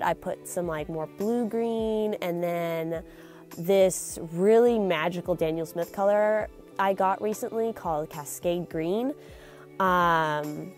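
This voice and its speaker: A young woman with a stuffy, nasal voice from a cold, chatting casually through a voice-over for her painting video, with lots of fillers and restarts. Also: fairly low pitch.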